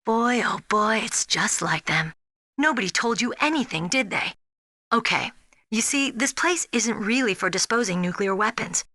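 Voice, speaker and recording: An actress playing a spirited, earnest young rookie soldier in a video game, speaking in a frank, expressive way. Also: female voice, a little high, moderate pace, no echo, studio quality. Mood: confiding, frank. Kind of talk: dramatic video game voice acting.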